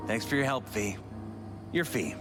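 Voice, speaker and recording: An earnest, courteous politician in a cinematic game, acted by a man. His pitch moves in a lively way as he talks. Music plays clearly underneath. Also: a little slow, natural voice. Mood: earnest, expectant. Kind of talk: cinematic video game acting. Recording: music behind the dialogue.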